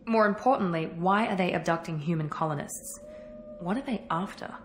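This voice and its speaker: Woman playing a low-voiced, businesslike female operative. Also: fairly quick, a little hiss or hum, natural voice. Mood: analytical. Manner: businesslike, clipped, matter-of-fact. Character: tough, analytical, wary female military operative. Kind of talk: video game cutscene acting. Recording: music under the voice.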